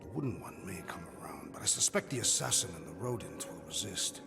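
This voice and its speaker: An actor playing a solemn, devout and menacing alien warrior in a video game, with a deep gravelly voice and grave, formal wording. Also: male voice, lively intonation, quick pace, over music. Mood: quietly menacing. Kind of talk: video game cutscene acting.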